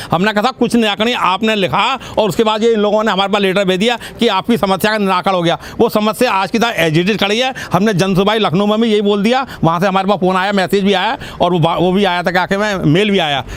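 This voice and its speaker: A man's voice: high-pitched, fairly bright tone. A man in his sixties at an outdoor protest, earnestly explaining a long complaint. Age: 60s. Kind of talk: street interview.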